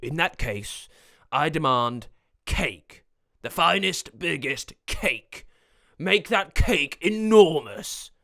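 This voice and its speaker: Guy doing a bossy evil wizard for kids story, shouty blustering, easily enraged, swoopy pitch. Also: animated, takes it slowly, exaggerated voice. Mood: smug, demanding. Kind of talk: children's storybook villain voice.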